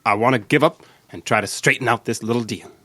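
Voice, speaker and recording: A man's voice: medium pitch, fast talker, fairly bright tone, dry recording, close to an everyday voice. Man playing a blunt, dry-sounding radio tough guy. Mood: resolute. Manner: blunt, slangy. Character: blunt, slangy tough guy unburdening himself. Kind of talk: old-time radio mystery acting.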